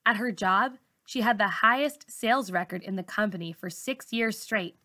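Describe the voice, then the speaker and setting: A woman reads a children's novel aloud in a bright, forward voice at a medium pitch. She narrates in the first person, as a teacher reading to students. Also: normal speed.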